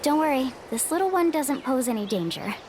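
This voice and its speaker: A woman voicing an upbeat, confident young woman guide in a video game, expressive and reassuring as she takes charge. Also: high-pitched, fairly quick, noisy background. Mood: urgent, reassuring. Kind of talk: animated video game voice acting.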